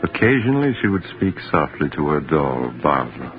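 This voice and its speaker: A man playing a sinister, calm storyteller, narrating a 1940s radio mystery in a deliberate, ominous, theatrical way. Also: extremely low pitch. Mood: grave, measured. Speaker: narrator and host. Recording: muffled 1940s radio recording. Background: music.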